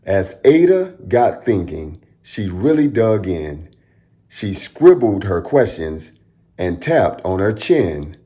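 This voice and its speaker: A man reading a rhyming children's picture book aloud as a storytime host, in an expressive, dramatic storyteller manner. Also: sits low, slow pace.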